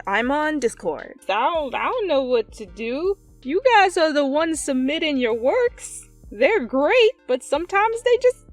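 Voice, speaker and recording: A woman hosting her channel with casual, animated chat to followers, bubbly, jokey and theatrical. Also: high-pitched, dry recording. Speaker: channel host.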